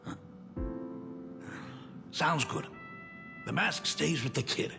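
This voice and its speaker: An actor playing a weary, wry middle-aged father in an anime game, with a gravelly voice full of regret. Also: fairly high pitch, animated, slow, exaggerated voice. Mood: wry resignation. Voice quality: gravelly, creaky. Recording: music behind the voice.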